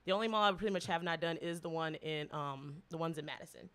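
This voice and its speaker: A host in their thirties telling travel stories in a relaxed, casual chat with frequent laughs. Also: medium pitch, fast, smooth. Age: 30s.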